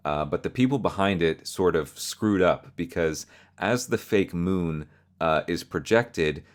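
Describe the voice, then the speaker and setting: A man's voice: sits low, lively intonation, unhurried. A man hosting a video, narrating and explaining a fictional horror story.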